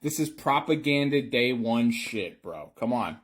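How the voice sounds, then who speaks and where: A man's voice: medium pitch, animated, unhurried, husky. Blunt man ranting with slang. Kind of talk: social media rant.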